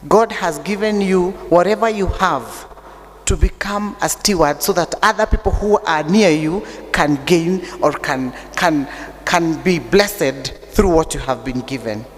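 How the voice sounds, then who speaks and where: A Kenyan woman with an unusually deep voice, preaching a sermon that mixes English and Swahili, telling stories with humor. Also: unhurried. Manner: chatty storytelling with humor. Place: church. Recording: long church reverb.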